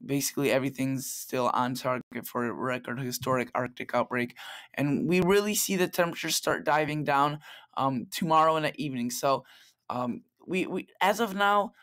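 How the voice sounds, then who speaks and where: Slightly raspy man giving a casual forecast. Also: medium pitch, moderate pace, fairly bright tone. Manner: chatty, loose, talks through model maps. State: slightly raspy late at night. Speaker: weather forecaster. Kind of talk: casual weather forecast vlog. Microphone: plug-in microphone.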